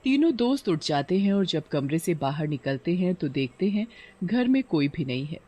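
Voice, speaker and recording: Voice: medium pitch, very animated, moderate pace, darkish tone. A narrator reading a scripted horror story in a dramatic storytelling style.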